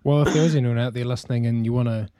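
Laid-back New Zealand man, mellow voice. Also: medium pitch, rapid-fire, no room sound. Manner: relaxed, many "um" fillers, easy laughter. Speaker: podcast co-host. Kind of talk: casual podcast chat.

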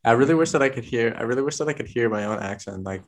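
A man interviewing a guest in casual conversation, relaxed and chatty, asking open questions. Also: medium pitch, racing.

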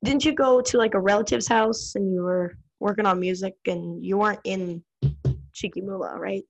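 Chatty American woman with a gravelly voice. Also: medium pitch, fairly even intonation, normal speed, a little hiss or hum, low-quality recording. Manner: chatty, filler-heavy, laughs often. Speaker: interviewer. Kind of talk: casual chat.

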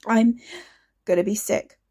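A woman's voice: medium pitch, steady tone, a little slow, creaky, warm tone, breathy edge. A woman reading an audiobook, voicing a frightened, sick young woman. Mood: anxious. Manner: anxious, shaky.

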